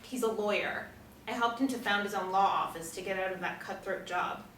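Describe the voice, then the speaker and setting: A woman's voice: medium pitch, a little fast, fairly bright tone, close to an everyday voice. A woman, apparently in her thirties, playing a blunt, sarcastic, hurt woman at a script reading. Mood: bitter. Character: sharp-tongued, sceptical, hurt woman. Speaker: actress at a screenplay table reading. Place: indoor room, seated. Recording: echoey room, distant mic, faint noise.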